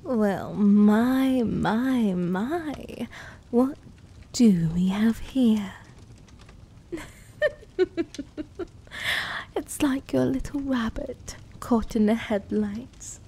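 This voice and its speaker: Sadistic British she-wolf, acted by a woman for ASMR roleplay: very slow, softly dark breathy whisper, teasing menace. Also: fairly high pitch, exaggerated voice. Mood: mocking delight. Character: sadistic, possessive female werewolf-witch hybrid toying with prey.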